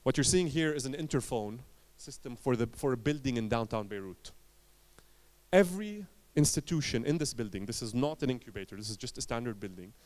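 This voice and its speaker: A man from Lebanon giving a conference talk as an entrepreneur, telling his story in short emphatic phrases with dramatic pauses. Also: medium pitch, animated, darkish tone.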